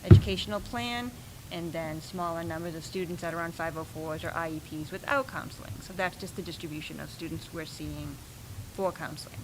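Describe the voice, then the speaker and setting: A soft-spoken woman, a school principal, explaining schedules and budget requests at a meeting, with frequent pauses and ums. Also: low voice, very noisy. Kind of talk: presenting at a school board meeting.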